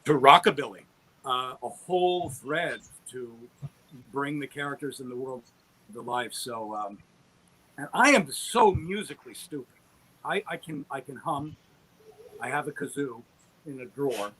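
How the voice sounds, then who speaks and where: A man's voice: mid-range voice, lively intonation, unhurried, fairly bright tone, husky, poor audio. A middle-aged man talking as an interview guest in an enthusiastic, rambling, self-deprecating storytelling way on an online call. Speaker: guest, audio drama producer. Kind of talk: conversational interview talk.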